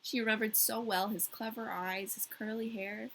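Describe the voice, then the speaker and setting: A woman's voice: a little high, steady tone, moderate pace, a bit bright, a little hiss or hum, low-quality recording. A woman hosting a story podcast, reading aloud with playful, irreverent casual asides.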